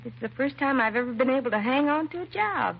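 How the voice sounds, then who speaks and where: An American actress playing a timid, self-doubting young secretary in a 1950s radio drama, hesitant and apologetic. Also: female voice, high voice, animated, quick pace, creaky, husky, full character voice. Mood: self-deprecating, nervous. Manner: hesitant, nervous, apologetic, trailing and restarting. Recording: muffled vintage radio recording, faint hiss.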